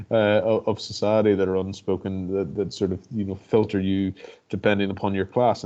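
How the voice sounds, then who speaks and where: This is a man with a Northern Irish accent and a lowish voice. He talks in a level, measured way and often stops to restart a thought. The sound is dull and lo-fi, like a video call. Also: darkish tone. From Northern Ireland. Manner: reflective, hesitant, many restarts and fillers. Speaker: barrister and guest speaker. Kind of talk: personal talk to an online audience.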